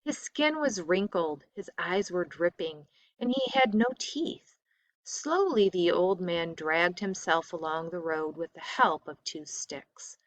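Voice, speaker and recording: A woman teacher reading a textbook story aloud expressively, with deliberate dramatic emphasis and phrases that drop away softly at the end. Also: lowish voice, low-quality recording.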